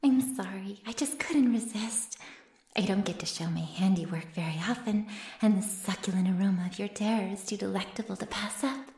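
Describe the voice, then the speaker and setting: A woman's voice: medium pitch, a little fast, darkish tone, roomy sound, natural voice. A woman voicing a sly, haughty flesh-eating female monster in an ASMR roleplay, mocking and mock-innocent as she savors her words. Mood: gloating menace. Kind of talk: ASMR roleplay audio drama.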